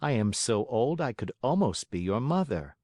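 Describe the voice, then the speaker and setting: Man playing a kindly motherly fairy, lively intonation. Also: medium pitch, moderate pace, no echo, clean studio sound. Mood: gently teasing. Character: kindly, motherly grown woman fairy, gently teasing. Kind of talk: audiobook character acting.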